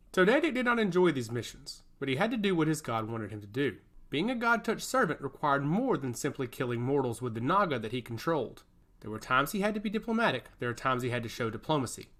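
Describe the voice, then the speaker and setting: Animated male fantasy storyteller. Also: mid-range voice. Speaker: audio story narrator. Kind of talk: dramatic scripted fantasy narration.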